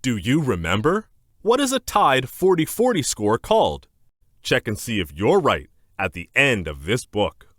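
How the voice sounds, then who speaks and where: A man narrating a children's educational book aloud in a scripted, clear and deliberate instructional manner. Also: mid-range voice, wildly swooping pitch, a bit bright, no echo, clean studio sound.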